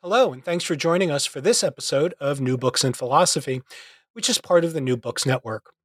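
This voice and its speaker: A man's voice: fairly high pitch, brisk. A man hosting a podcast interview, reading an intro before chatting with his guest. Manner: scripted intro, then relaxed chat. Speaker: podcast host. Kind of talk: interview host.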